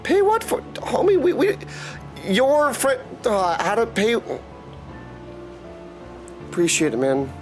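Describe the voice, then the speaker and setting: High-voiced, very animated male game streamer. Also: takes it slowly, long pauses, soft background music. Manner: excitable, jokey, bursts of exclamation. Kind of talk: casual live game commentary.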